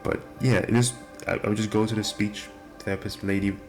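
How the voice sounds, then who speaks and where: A young man with a deep voice and nonstandard R sounds, talking casually and self-deprecatingly to the camera. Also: little pitch movement, fairly quick. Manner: rambling, self-deprecating, many restarts. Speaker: vlogger. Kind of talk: casual rambling monologue. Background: video game music playing.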